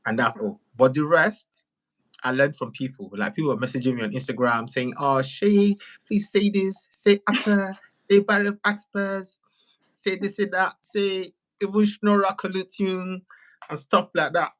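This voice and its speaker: A man who makes videos, chatting casually as a livestream guest in an animated way, often repeating words and phrases for emphasis. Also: high voice, unhurried.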